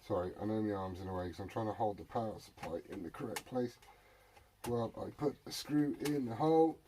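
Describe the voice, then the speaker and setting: A man's voice: lowish voice, a little fast, mellow. Sweary British man muttering over noisy repairs. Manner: sweary, jokey muttering to himself. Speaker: hobbyist repairer presenting a video. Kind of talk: rambling casual workbench chat to viewers. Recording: noisy home recording with clicks.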